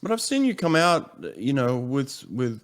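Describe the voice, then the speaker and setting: A man from Australia, hosting an interview and asking relaxed, curious questions. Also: medium pitch, unhurried. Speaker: podcast host. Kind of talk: interview conversation.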